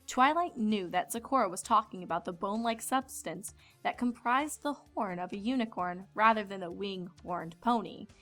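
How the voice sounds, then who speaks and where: A woman's voice: medium pitch, moderate pace, hardly any pauses, bright, forceful tone. A woman reading scripted storybook narration as the narrator. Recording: music behind the voice.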